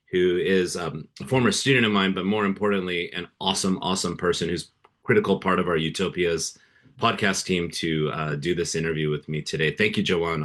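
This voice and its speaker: Middle-aged male podcast host, very deep voice, earnest and halting. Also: a bit bright. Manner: earnest, hesitant, many fillers and restarts. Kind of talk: interview hosting.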